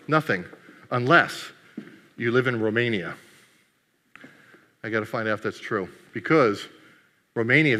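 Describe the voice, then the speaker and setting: A man with a bright, forceful voice gives a playful keynote at a conference and teases the audience as he goes. His first language is Greek. The sound carries a long hall reverb. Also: medium pitch. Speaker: conference speaker. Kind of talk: humorous keynote talk.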